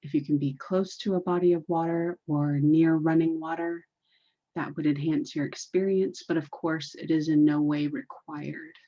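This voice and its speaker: A woman leading a guided meditation in a deliberate, soothing, repetitive way, on a dull, muffled recording. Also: low voice, steady tone.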